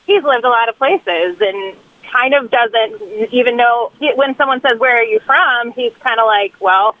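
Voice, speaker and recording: A woman's voice: on the high side, moderate pace, no echo. Brief: woman, chatty radio guest voice, laughs easily, slightly muffled and very dry. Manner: chatty, laughs often while telling things. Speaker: radio show participant. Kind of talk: casual radio chat.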